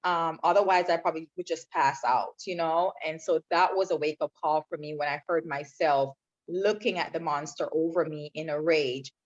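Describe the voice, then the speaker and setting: A woman's voice: sits low, moderate pace. Lady telling her story, earnest and reflective, kinda muffled lo-fi audio. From Jamaica. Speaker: podcast guest. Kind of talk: personal testimony in interview. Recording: dull lo-fi sound.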